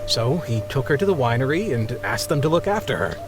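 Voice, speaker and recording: Man playing a flustered, lively sea captain. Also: medium pitch, brisk, lightly acted. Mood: sheepish. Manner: flustered, hesitant, apologetic. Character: embarrassed, grateful middle-aged married sea captain. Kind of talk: video game voice acting. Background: background music.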